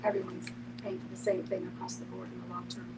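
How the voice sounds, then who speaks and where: A woman's voice: lowish voice, completely flat delivery, fast. A woman explaining things casually and conversationally in a group discussion. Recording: distant mic with clear noise and hum.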